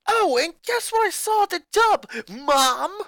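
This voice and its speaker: A woman voicing an angry, resentful young boy who rants and shouts at his family. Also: very high voice, expressive, measured pace, no room sound, studio quality, pitched above the performer's own voice, full character voice. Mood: bitter. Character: furious boy, eleven-year-old, bitter and resentful.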